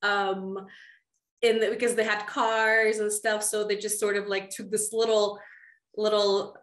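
A woman's voice: medium pitch, little pitch movement, measured pace. A young woman from Russia, a guest author, chatting candidly over a video call from a small room. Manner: candid, chatty storytelling with light laughs. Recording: echoey room.

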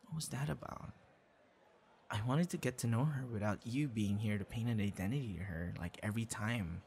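A man's voice: on the low side, fairly quick, creaky, a little mellow, slight background noise. A man acting a shy, kind young boyfriend in an ASMR roleplay, speaking conversationally with hesitations and stammers. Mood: annoyed, hurt.

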